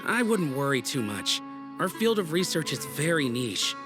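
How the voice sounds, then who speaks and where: A young male student in a video game. His voice is a little high and assured as he explains and reassures, with music clearly behind him. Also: very animated, moderate pace. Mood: reassuring. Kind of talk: video game cutscene acting.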